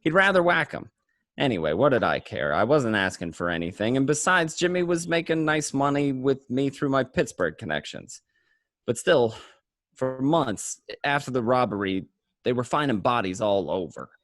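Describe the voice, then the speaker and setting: A man acting as an excitable mobster who tells his crime story conversationally, tripping over and repeating words. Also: mid-range voice, normal speed, no room sound. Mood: matter-of-fact. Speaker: amateur actor in an online radio play. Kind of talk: first-person dramatic monologue, conversational.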